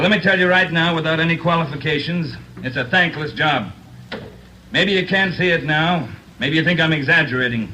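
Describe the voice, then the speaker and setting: Veteran us police officer, blunt and earnest, sharp voice a bit high, old radio drama w/ faint hiss. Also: male voice, low-quality recording. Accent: American. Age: middle-aged. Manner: plain-spoken, emphatic, hard-bitten. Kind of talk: speech in 1940s radio drama. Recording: hissy old 1940s radio recording.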